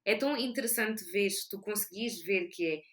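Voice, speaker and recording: A woman's voice: mid-range voice, animated, normal speed. A woman co-hosting a podcast, speaking hesitantly as she searches for words. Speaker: podcast co-host.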